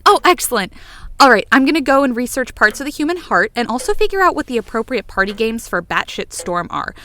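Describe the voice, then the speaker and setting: A woman's voice: on the high side, big pitch swings, fairly quick, pushed and bright, slight background noise. A woman playing an exuberant, bossy, playful friend with a theatrical, wordy manner in a fiction podcast. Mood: excited, bossy.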